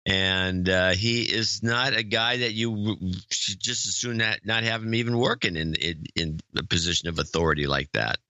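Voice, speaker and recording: Blunt, bright-voiced man ranting. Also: deep voice, steady tone, moderate pace, hardly any pauses, dry recording. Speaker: podcast co-host. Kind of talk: casual chat.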